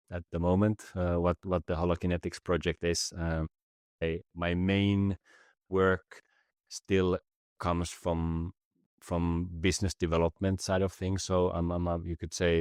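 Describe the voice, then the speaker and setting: A middle-aged man from Finland, a native Finnish speaker, talks as a podcast guest in a thoughtful, halting way, often repeating words as he reflects. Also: deep voice, a little mellow, dry recording, studio quality. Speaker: podcast guest, business facilitator. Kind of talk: reflective conversation.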